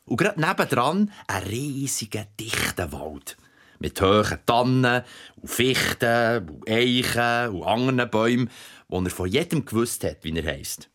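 An actor playing an earthy father who tells a bedtime story in Swiss German, dramatic and drawn-out. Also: mid-range voice, sing-song, a bit bright. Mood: storytelling. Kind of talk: dramatic storytelling in a radio play.

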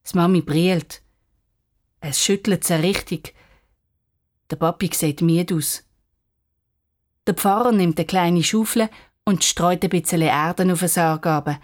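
A woman's voice: on the low side, takes it slowly, pauses often, a little mellow. A woman with a Swiss German accent narrating a scripted children's story.

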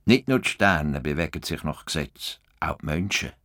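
A man's voice: very deep voice, lively intonation, moderate pace, fairly bright tone, exaggerated voice. A man acting a stubborn, idealistic, cantankerous farmer with a Swiss German accent in a radio play. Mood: musing, low. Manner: blunt, swearing, grumbling.

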